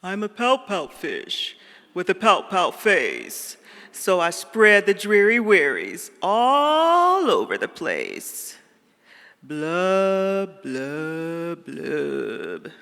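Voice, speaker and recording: A woman voicing a glum, gloomy male fish from a children's storybook, reading his rhymes in a slow, sing-song way. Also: fairly low pitch, sing-song, a bit bright, exaggerated voice. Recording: long hall reverb.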